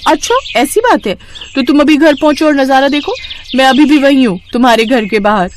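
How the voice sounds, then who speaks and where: A woman's voice: sits high, brisk, slight background noise, voice pushed up, close to an everyday voice. A woman voicing a jealous, scheming college girl in a moral-story cartoon, with a sly, taunting manner. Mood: sly, goading.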